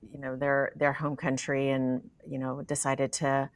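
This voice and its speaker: A woman's voice: very deep voice, level tone, unhurried, no room sound. A woman hosting an interview, chatting in a friendly way and often affirming her guest. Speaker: podcast host. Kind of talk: casual interview conversation.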